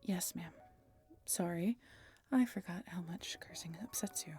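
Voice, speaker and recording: A woman's voice: mid-range voice, unhurried, mellow, soft background music, lightly acted. A woman voicing a breezy immortal who has come back after a long absence, talking in a loose, half-whispered way. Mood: casual. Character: returning immortal, once a fledgling, breezy and casual. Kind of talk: whispered audio roleplay.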